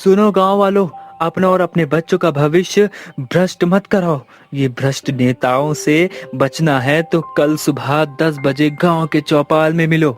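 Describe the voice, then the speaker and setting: A man voicing a wronged, defiant young villager in an animated moral story, speaking in an earnest, declamatory way. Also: a little high, a little slow, few pauses, lightly acted. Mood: rallying. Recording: background music under the voice.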